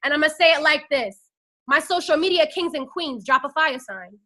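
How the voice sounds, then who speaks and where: A woman's voice: high-pitched, expressive, brisk, bright, forceful tone. A woman in her twenties giving a fiery, preachy motivational pep talk into a phone and rallying her listeners with call-and-response. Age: 20s. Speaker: network marketing team leader.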